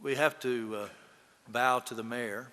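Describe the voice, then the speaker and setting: Bright male officiant in a reverberant hall. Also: mid-range voice, steady tone, unhurried. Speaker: officiant leading a memorial service. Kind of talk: casual, inviting remarks to a gathering. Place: large hall. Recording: distant voice in echoey hall.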